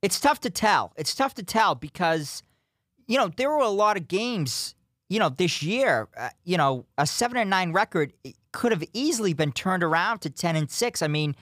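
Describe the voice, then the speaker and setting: A man's voice: high voice, very animated, moderate pace, fairly bright tone, no echo, studio quality. A young man hosting a call-in sports radio show, who talks hesitantly and fills it with "you know". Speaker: radio host.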